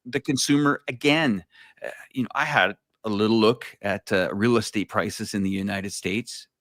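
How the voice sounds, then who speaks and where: A man's voice: on the low side, moderate pace, a bit bright, no room sound, studio quality. Rambling man in casual chat. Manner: rambling, many fillers and restarts, laughs easily. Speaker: co-host. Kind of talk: casual livestream discussion.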